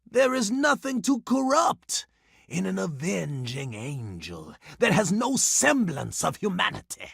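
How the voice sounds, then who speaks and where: An eccentric old video game mystic with a high, raspy voice. He preaches theatrically, his pitch leaping extremely from low to shrill, grandiose and scheming. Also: wildly swooping pitch, measured pace, fairly bright tone, dry recording, clean studio sound, full character voice. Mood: solemn, insinuating. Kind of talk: video game character acting.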